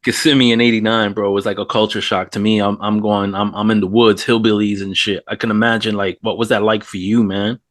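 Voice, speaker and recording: A man's voice: lowish voice, level tone, fairly quick, few pauses, dry recording, studio quality. A man from New York City hosting a casual interview, talking loosely, swearing and laughing often. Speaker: host.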